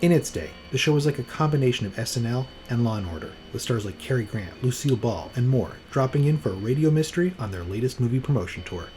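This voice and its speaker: A man's voice: medium pitch, expressive, fast talker, few pauses, darkish tone, slight background noise. A man hosting a podcast with scripted, playful narration in a wry, tongue-in-cheek manner. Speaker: podcast host. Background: music.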